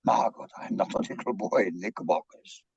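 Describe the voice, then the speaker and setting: A man's voice: lowish voice, brisk, gravelly, a little mellow, husky, dry recording, heavily acted. A man playing an eccentric, earnest foreign psychiatrist in a comic play, pompous and theatrical with stilted grammar. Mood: exclaiming. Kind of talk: comic stage play reading. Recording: dull lo-fi microphone sound.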